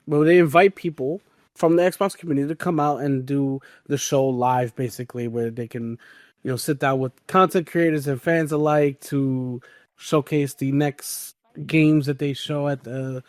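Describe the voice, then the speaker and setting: A man's voice: fairly high pitch, no echo, studio quality. A man hosting a podcast and steering the topics in casual gaming news chat.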